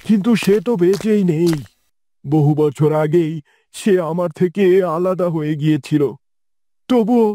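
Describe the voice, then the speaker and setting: Brooding, mellow-voiced cartoon king acted by a man. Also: high voice, slight background noise. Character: brooding middle-aged king, secretive and commanding. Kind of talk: animated fairy-tale cartoon acting.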